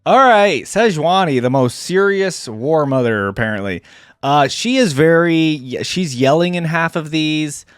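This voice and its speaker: A man's voice: on the high side, lively intonation, unhurried, clean tone, dry recording, clean studio sound. A man hosting a reaction video, giving chatty, amused commentary as he thinks aloud.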